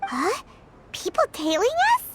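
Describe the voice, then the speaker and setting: A woman voicing a small, childlike, naive fairy companion in a video game, with a squeaky, exclamatory delivery. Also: sing-song, a little slow, heavy background noise, exaggerated voice. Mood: surprised. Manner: exclamatory, bubbly.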